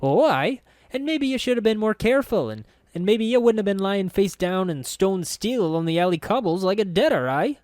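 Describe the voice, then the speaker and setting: A woman's voice: medium pitch, expressive, normal speed, few pauses, darkish tone, dry recording, studio quality, pitched above the performer's own voice, natural voice. A man voicing a brash, sneering young woman scavenger with a snappish, scornful way of talking.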